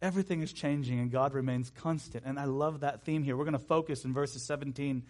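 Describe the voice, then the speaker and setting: A man's voice: mid-range voice, a little fast, a little mellow. A man in his thirties preaching a sermon in an emphatic way, repeating key phrases and slipping into conversational asides. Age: 30s.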